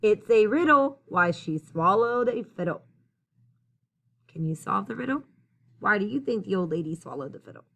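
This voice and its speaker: A woman teacher reading a picture book aloud to young children in an expressive, sing-song, encouraging way. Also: medium pitch, normal speed, gravelly. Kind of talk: children's story read-aloud, addressing young listeners.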